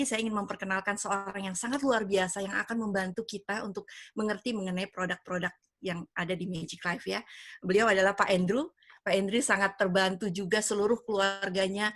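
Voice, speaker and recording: A woman's voice: mid-range voice, fairly bright tone, no room sound. A woman in her fifties from Padang, hosting an online business presentation on a video call in an enthusiastic, persuasive way with many fillers. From Indonesia (Padang). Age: 50s. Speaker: event host. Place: home, lives in the United States.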